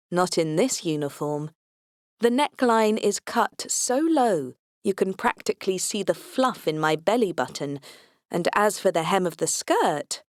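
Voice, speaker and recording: A woman's voice: fairly low pitch, no echo, clean studio sound. A woman reading a science fiction comedy audiobook aloud in the first person, with a wry, tongue-in-cheek delivery. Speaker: audiobook narrator.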